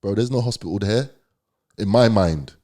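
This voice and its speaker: Deep-voiced London man telling his story. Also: normal speed, mellow. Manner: slangy, conversational, emphatic. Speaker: podcast guest. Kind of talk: candid storytelling.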